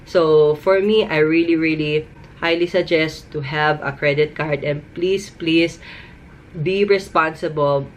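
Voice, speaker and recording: A woman's voice: deep voice, fairly even intonation, measured pace. A woman in her thirties from the Philippines with a Filipino accent, giving casual, emphatic advice in a vlog and repeating words for stress. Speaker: vlogger. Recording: noticeable background noise.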